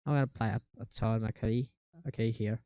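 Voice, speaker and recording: A man giving a casual software tutorial in a halting, step-by-step way, speaking into a cheap microphone. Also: fairly low pitch, steady tone, no room sound, muffled recording. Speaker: tutorial presenter. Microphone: cheap microphone with software processing.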